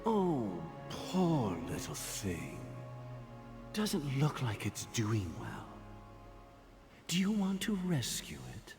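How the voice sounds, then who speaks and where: A man voicing a kindly, gently teasing fatherly gentleman who talks to children in a video game. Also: mid-range voice, wildly swooping pitch, takes it slowly, exaggerated voice. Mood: indulgent, probing. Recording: long reverb, music underneath.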